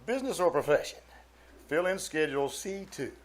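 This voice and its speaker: A man's voice: fairly high pitch, lively intonation, fairly quick, lightly acted. A man playing a pompous, jealous, easily flustered middle-aged businessman in a 1940s radio comedy style. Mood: grumbling. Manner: blustering, sputtering.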